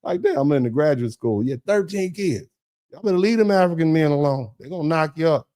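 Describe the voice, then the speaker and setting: A man hosts a loose, rambling livestream as a lawyer chatting with his audience. He talks straight to his viewers, laughs easily, and his voice jumps way up when he gets excited. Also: on the high side, fairly quick. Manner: laughs often, addresses the chat directly. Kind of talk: casual livestream chat thanking viewers.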